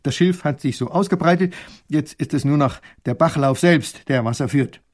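A man's voice: on the high side, normal speed, darkish tone, natural voice. A man voicing a proud, literal-minded soldier who answers in clipped, dutiful military phrases. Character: eager, literal-minded, proud young male guard.

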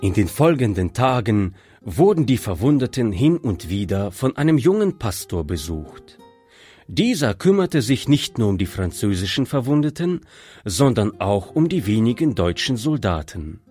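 A man narrating a devout story in a slow, measured audiobook reading. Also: lowish voice, mellow, slightly rough.

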